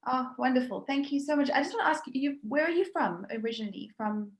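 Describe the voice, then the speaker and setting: A woman's voice: high-pitched, expressive, fast talker, slight background noise. Encouraging woman interviewer, echoey call. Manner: friendly, encouraging. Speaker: interviewer from the university. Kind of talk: casual online interview. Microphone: video call. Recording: echoey room over video call.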